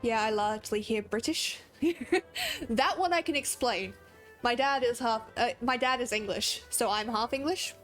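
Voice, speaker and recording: A woman's voice: on the high side, measured pace, fairly bright tone, soft background music. A young woman with an Australian accent drifting toward American, chatting in a rambling way while she streams a game and laughs often. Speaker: gaming streamer. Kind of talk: casual livestream chat while playing.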